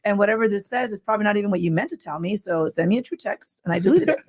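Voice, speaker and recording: Co-host, woman, middle-aged, raspy and loud, rapid delivery, laughs easily. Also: mid-range voice. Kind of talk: casual live stream chat.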